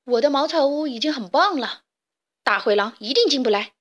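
Woman doing a cocky big brother piglet, high and loud, storybook. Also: expressive, brisk. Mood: boastful. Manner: boastful, then panicked. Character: eldest piglet, boastful and proud.

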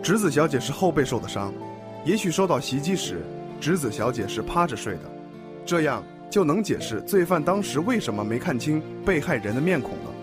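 A man playing a composed police detective in a radio drama, questioning in a measured, formal way. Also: on the high side, sing-song. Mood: calm, probing. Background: music.

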